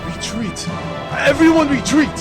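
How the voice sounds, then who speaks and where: A man's voice: very high-pitched, lively intonation, a little slow, loud music behind the voice, pitched above the performer's own voice. A man playing a blustering, scornful space combatant in an audio drama. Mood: scornful, urgent.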